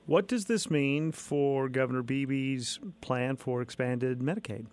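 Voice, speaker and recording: A male radio host asking a guest questions in a friendly, unhurried way. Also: medium pitch, darkish tone, smooth, no echo. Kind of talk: radio interview.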